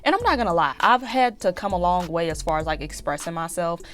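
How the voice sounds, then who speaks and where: A young woman in her twenties talks straight to camera in a loose, confessional vlog. Her voice sits a bit low for a woman and has a slight allergy rasp. She talks candidly and loops back on herself with fillers. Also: expressive, fast, a bit bright, a little hiss or hum. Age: 20s. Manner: chatty, candid, frequent fillers and restarts. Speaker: YouTube vlogger. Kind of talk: casual confessional vlog chat.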